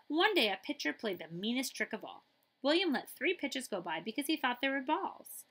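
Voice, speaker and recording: A middle-aged woman reading a children's picture book aloud, with dramatic emphasis and pauses. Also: a little high, a bit bright, slightly rough, poor audio.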